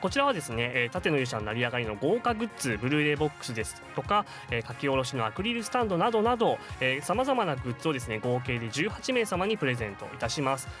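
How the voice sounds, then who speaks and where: A man's voice: mid-range voice, sing-song, rapid-fire, few pauses. A man giving polite, formal news announcements as a staff presenter on a web radio show. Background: prominent music.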